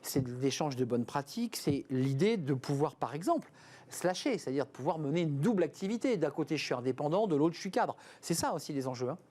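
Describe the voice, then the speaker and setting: Mellow-voiced man asking probing questions. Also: a little high, animated, fairly quick. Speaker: host, interviewer. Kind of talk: radio interview questions.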